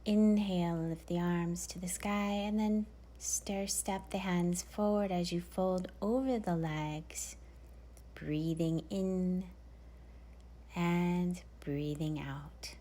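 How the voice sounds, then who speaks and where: Slow, soft-spoken woman yoga teacher. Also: on the low side, fairly even intonation. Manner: soft, gentle instructions, often near a whisper. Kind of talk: guided yoga class cues.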